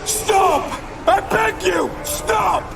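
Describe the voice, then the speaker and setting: A man's voice: very high-pitched, extremely slow, creaky, raised voice, full character voice. A man voicing a vain, lecherous ghost of a nobleman in a video game, theatrical and teasing. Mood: pleading. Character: rakish, boisterous ghost of a nobleman, vain reveler. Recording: noisy, distant game scene capture.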